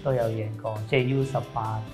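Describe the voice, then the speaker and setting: Hong kong guy, community activity coordinator, easygoing, normal pitch, throws in english words, faint music. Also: fast talker. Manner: plain, relaxed, mixes English words into speech. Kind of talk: documentary interview.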